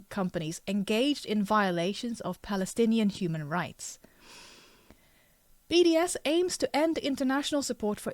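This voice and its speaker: A woman's voice: medium pitch, a little mellow, no echo. A woman whose first language is not English, reading a web page aloud with occasional stumbles and breaking off to make frank, chatty asides. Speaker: artist and video creator.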